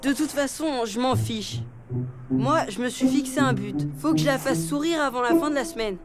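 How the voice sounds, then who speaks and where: High-voiced cheeky cartoon schoolboy. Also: female voice, expressive, moderate pace. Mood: frustrated. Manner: clownish, sulky, childlike. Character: cheeky, restless young elephant schoolboy. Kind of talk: French children's cartoon dialogue. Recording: music underneath.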